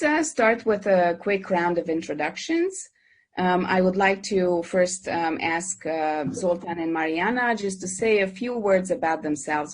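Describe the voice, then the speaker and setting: A woman's voice: fairly low pitch, breathy edge. A woman moderating an online webinar, who introduces speakers and asks questions hesitantly, with many fillers and restarts, over a video call. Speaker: moderator, dean.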